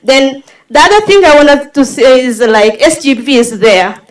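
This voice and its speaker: A woman's voice: high-pitched, moderate pace, poor audio. A woman from Somalia making a pleading appeal from the floor of a conference, listing points with hesitant fillers. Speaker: audience member. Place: conference hall.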